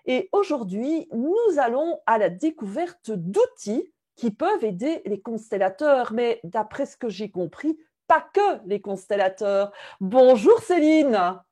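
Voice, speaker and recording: Upbeat woman host, slightly high voice, laughs between questions, video call. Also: big pitch swings, measured pace, warm tone. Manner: upbeat, with frequent laughs and hesitations. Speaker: interview host. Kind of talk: warm video interview.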